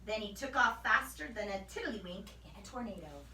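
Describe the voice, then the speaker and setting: A woman reading a children's story aloud as a teacher, with expressive, animated narration. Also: mid-range voice, fairly quick, noisy background. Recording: echoey room, distant microphone.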